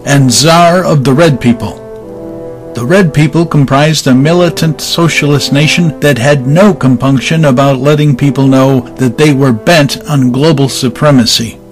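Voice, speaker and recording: A man's voice: fairly high pitch, lightly acted. A man giving scripted dramatic narration as the narrator of an audio story. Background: faint music.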